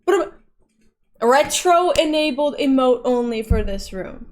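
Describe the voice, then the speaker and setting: Casting: woman streamer, fairly high voice, chatty and playful, casual talk with her audience. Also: expressive, unhurried, a little mellow. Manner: chatty, playful, often laughing. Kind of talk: casual livestream chat reading viewer messages.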